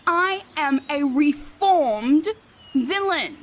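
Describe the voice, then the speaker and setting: Seeking an actress for a put-upon female-voiced rogue, high and unhurried, sarcastic grumbler, fantasy audio comedy. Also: expressive, heavily acted. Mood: indignant. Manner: sardonic, exasperated complaining.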